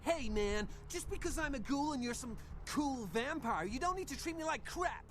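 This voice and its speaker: An actor voicing an excitable, whiny young male vampire's servant in a video game, gushing and stammering in slangy speech. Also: extremely high pitch, moderate pace. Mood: agitated, offended. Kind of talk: video game character dialogue. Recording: game music behind the voice.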